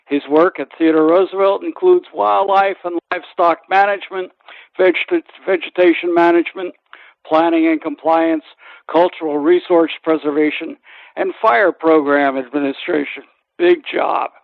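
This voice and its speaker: A man's voice: on the high side, fairly even intonation, moderate pace, creaky, no room sound. A man hosting a conversational interview, asking questions and introducing guests. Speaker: interview host. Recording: thin, phone-like radio sound.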